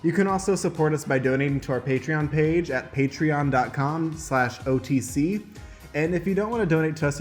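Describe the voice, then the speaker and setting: A man's voice: on the high side, darkish tone. A man narrating stage directions and making announcements as host of an improvised radio play.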